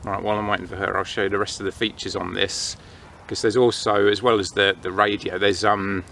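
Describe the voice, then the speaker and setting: A man's voice: on the low side, little pitch movement, fast talker, creaky, pushed and bright, slight background noise. A man in his thirties chatting casually and unscripted as he reviews gear, recorded outdoors on a camera mic. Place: outdoors in autumn woodland.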